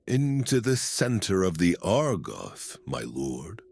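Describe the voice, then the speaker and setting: Wary military adviser, played by an actor for fantasy audio drama: grave, husky male, low, with wide pitch swings. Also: wildly swooping pitch, slow pace, a little mellow, heavily acted. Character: grave, wary male army general advising his lord.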